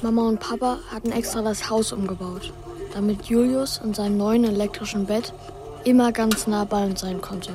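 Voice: mid-range voice, fairly even intonation, moderate pace, lightly acted. A performer voicing an earnest, troubled young boy who tells his story in a children's radio play. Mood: subdued. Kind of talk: radio play narration and dialogue.

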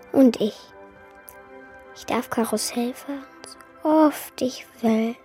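A woman voicing a naive, eager wooden puppet boy in a children's radio play, with childlike, earnest excitement. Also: on the high side, slightly rough, exaggerated voice.

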